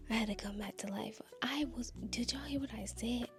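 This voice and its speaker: A woman's voice: on the high side, a little slow, over music. A young woman from Nashville, chattily telling viewers a personal story, confiding and calling out to them often. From Nashville, Tennessee. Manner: chatty, confiding, keeps saying y'all. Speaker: vlogger.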